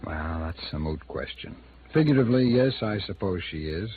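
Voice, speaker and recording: An actor playing a wry, evasive small-town attorney in a 1950s radio drama, speaking conversationally and a little hesitantly with an American accent. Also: male voice, deep voice, lively intonation, brisk. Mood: hesitant, defensive. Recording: muffled, noisy old radio drama recording.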